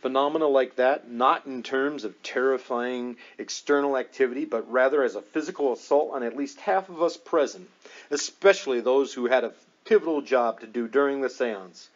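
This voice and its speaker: A man's voice: medium pitch, muffled recording, pitched below the performer's own voice, close to an everyday voice. Man reading as grave, emphatic male ghost investigator. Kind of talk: audiobook dialogue reading.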